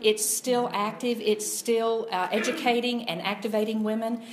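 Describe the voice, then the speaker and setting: A middle-aged or older woman introducing herself to a group in a casual, halting, conversational way. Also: a little high, fairly even intonation. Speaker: political discussion panelist. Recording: echoey room, faint background noise.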